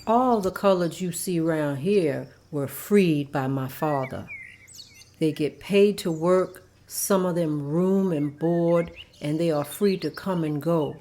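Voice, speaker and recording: A woman playing a genteel, compassionate lady of the house in a measured, proper manner at a table read. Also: deep voice, mellow, slight background noise. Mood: firm.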